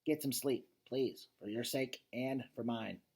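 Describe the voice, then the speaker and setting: A man's voice: mid-range voice, a little slow, vocal fry, darkish tone, breathy edge. Man as a blunt, loyal little storybook dog. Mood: gentle. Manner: insistent, blunt.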